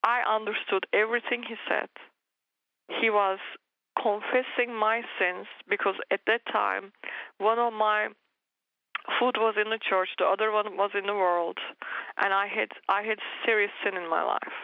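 Interview guest: woman, Turkish native speaker, earnest testimony, gravelly voice, dull lo-fi sound. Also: mid-range voice, fairly even intonation, normal speed, no echo. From Turkey. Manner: earnest storytelling with frequent restarts and laughs.

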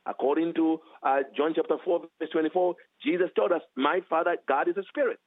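Guy w heavy accent preaching hard over a thin phone line, repeats himself for emphasis. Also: fairly quick. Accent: heavy foreign accent. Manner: emphatic, repeats phrases, animated swings in pitch. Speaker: guest author. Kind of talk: preaching teaching interview.

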